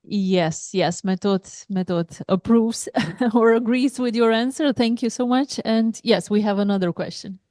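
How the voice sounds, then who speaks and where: Polite woman moderator, mellow tone. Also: a little high, unhurried, hardly any pauses, no room sound, studio quality. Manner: brief and polite. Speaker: event moderator.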